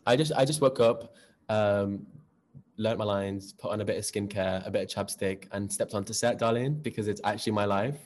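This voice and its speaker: A young man from North West England, chatting casually in an interview in a camp, lively way, calling people darling and girl. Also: on the low side, moderate pace, slight background noise. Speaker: actor, interview guest.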